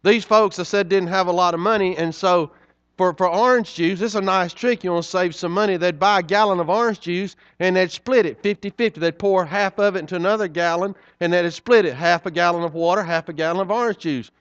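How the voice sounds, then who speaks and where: A man's voice: high voice, fairly quick, clean tone, no echo, highs cut off. A middle-aged man, preaching a sermon in a folksy, conversational way with frequent asides to the congregation. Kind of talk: sermon storytelling.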